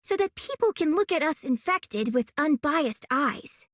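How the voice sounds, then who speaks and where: A woman's voice: sits high, animated, measured pace, fairly bright tone, no room sound, studio quality. A woman voicing an earnest, eager young female operator in scripted video game lines. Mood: bright, grateful.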